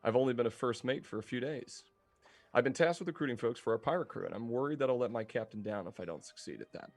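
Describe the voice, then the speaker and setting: A man's voice: medium pitch, lively intonation, fast talker, soft background music. An eager young woman who serves as a pirate crew's navigator, talking earnestly about her friends and her tasks, with a man reading her part. The voice sits at an ordinary middle height.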